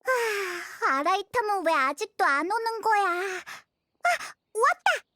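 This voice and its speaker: Tiny, very high-pitched excitable fairy companion. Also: female voice, takes it slowly, no echo, clean studio sound, exaggerated voice. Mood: impatient, excited. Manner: childlike, exclaiming. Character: small cheerful floating fairy companion, excitable and earnest. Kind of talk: anime game voice acting.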